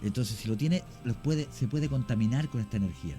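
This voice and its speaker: A man's voice: mid-range voice, brisk, music in the background. A man with a Chilean accent, a radio guest giving long explanations full of fillers and restarts. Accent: Chilean Spanish.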